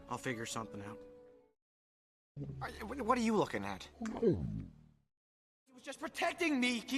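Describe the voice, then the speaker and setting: A man voicing an awkward, sarcastic, scrawny teenage Viking boy in an animated film, nasal, with a wry, stammering delivery. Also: very high-pitched, full character voice. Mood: hesitant.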